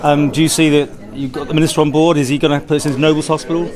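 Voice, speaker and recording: Quick-talking male interviewer in a crowd. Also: a little high, flat delivery, darkish tone.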